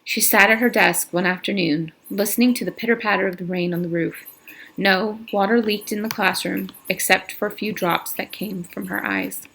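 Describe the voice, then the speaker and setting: Gravelly low-voiced woman reading aloud. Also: fairly even intonation, moderate pace, fairly bright tone, a little hiss or hum, low-quality recording. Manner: casual, with slips and restarts. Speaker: reader.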